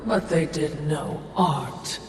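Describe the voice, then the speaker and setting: A woman's voice: low-pitched, background noise. A woman playing a wry, cultured spirit attendant in a video game, aloof and dry in her delivery. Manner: unhurried, dry. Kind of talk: dramatic video game cutscene acting. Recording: distant, echoey hall with music.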